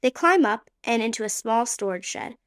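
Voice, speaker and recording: A woman's voice: a little high, expressive, normal speed, no echo, clean studio sound. A woman reading scripted narration for an adventure radio play.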